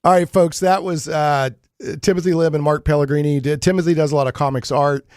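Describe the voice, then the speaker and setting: A man hosting a live radio show, chatting informally and haltingly, often repeating words as he goes. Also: on the high side, fairly even intonation, a little mellow, dry recording, clean studio sound. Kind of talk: casual live radio chat.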